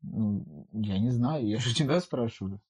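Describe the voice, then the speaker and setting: A man's voice: lowish voice, lively intonation, unhurried, darkish tone, slightly rough, poor audio. A man asking probing follow-up questions in a casual conversation. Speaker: interviewer.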